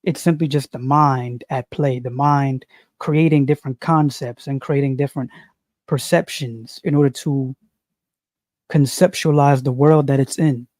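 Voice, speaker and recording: Man, spiritual monologue; unhurried, repetitive, sermon-like; warm tone, dry room. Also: medium pitch, dry recording. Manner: slow, preachy, repeats phrases, frequent "you see". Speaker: spiritual commentator. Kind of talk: unscripted monologue on philosophy.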